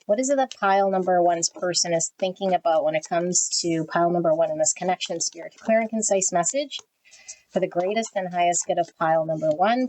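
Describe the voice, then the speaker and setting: A woman giving a tarot reading to her viewers, talking frankly and often tagging "okay?" onto her sentences, with her voice swinging high and low. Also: darkish tone, slight background noise, poor audio. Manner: pitch swings high and low as she talks. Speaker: tarot reader. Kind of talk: casual direct-to-camera tarot reading.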